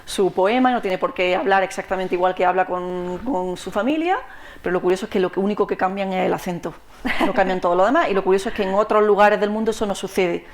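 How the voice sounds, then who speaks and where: A woman's voice: medium pitch, fast talker. A woman poet from Andalusia speaking on a panel in an emphatic, argumentative way. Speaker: poet, conversation partner. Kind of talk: panel discussion.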